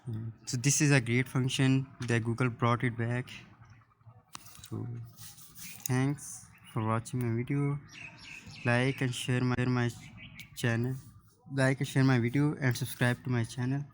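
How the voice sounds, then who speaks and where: A man presenting an unscripted phone app tutorial in halting, piecemeal phrases. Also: medium pitch, flat delivery, slight background noise, rough recording. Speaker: YouTube tech presenter.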